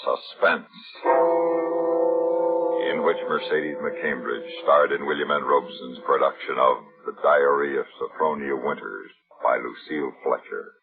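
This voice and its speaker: Formal 1950s-style male announcer, booming low and creaky, dramatic scripted delivery over old broadcast recording. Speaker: radio show announcer. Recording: dull vintage broadcast, prominent music behind.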